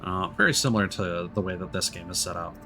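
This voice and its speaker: A man's voice: low-pitched, fast talker, music in the background. A man doing casual live game-stream commentary, thinking aloud in a rambling way.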